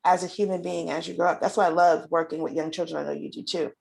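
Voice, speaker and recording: A woman's voice: fairly low pitch, fairly even intonation, fast, creaky, a little mellow. A middle-aged woman hosting a casual conversational interview, effusive and repeating phrases for emphasis. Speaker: show host and children's book author.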